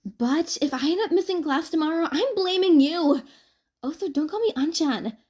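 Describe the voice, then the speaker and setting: A woman voicing a sociable, easily exasperated young college woman, with an expressive, bantering delivery. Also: very high voice, normal speed, voice pushed up.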